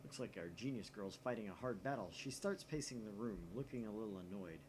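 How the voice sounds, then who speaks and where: A man's voice: fairly low pitch, lively intonation, a little fast, noisy background. A man reading first-person visual novel narration aloud as a storyteller. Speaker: gaming channel reader and narrator.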